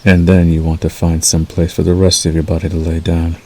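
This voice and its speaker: A man voicing a possessive werewolf lover in intimate ASMR roleplay, menacing yet tender, coaxing slowly and soothingly. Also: sits low, completely flat delivery, a little hiss or hum. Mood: soothing, sultry. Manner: slow, soothing, coaxing with dark undertone.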